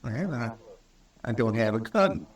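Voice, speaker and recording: A man plays a simple frontier townsman in a vintage radio western. The delivery is folksy, halting and earnest, at a middle pitch. The old recording is roomy and slightly distant. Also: expressive, lightly acted. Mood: fearful, insistent.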